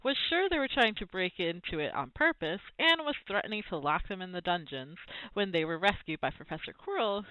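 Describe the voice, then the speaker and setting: Very animated woman reading a storybook aloud. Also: mid-range voice, no echo, muffled recording. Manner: dramatic, varied emphasis. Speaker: storybook reader. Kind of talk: expressive children's book read-aloud narration.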